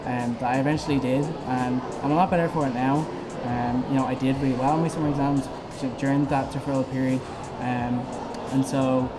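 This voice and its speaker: Fast, even-toned young Dublin man. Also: medium pitch, rough recording. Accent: Dublin Irish. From Ireland. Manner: earnest, steady, with frequent ums. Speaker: student election candidate. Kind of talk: campaign video interview answers. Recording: music playing under the voice. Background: background music.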